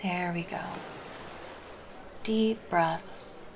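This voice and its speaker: Woman plays flirty, caring female lifeguard for ASMR roleplay; unhurried, lowish voice, soft and reassuring. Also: fairly even intonation. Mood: soothing, reassuring. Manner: soft, intimate, casual, reassuring. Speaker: roleplay voice performer. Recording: background noise under the voice.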